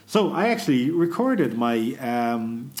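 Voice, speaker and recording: Chatty man with halting restarts. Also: mid-range voice, sing-song, darkish tone. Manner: chatty, hesitant with frequent restarts. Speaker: podcast co-host. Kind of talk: casual chat.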